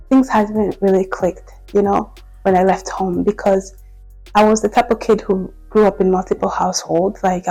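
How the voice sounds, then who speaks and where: A young woman from Congo speaks in a personal vlog. Her voice is warm and mellow at a middle pitch. She talks in a reflective, candid way, often pausing on "like" and restarting her sentences. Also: breathy edge, rough recording. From Democratic Republic of Congo. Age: 20s. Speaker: vlogger.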